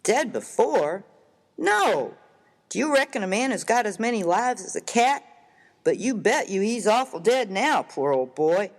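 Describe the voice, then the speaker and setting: A man with an American accent playing a rough, slangy, grieving miner of the Old West in a dramatized comic story, with a folksy, emphatic delivery. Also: high-pitched, big pitch swings, unhurried, full character voice. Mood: mournful, admiring. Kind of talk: dramatized reading of a humorous story. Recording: reverberant hall-like room.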